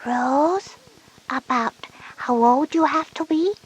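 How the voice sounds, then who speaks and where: A woman voicing a timid, anxious little girl with a breathy voice and hesitant delivery, in an educational story tape. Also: high voice, rough recording. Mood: curious, puzzled. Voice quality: breathy, husky. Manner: slow, hesitant, halting. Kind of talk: scripted educational audio drama.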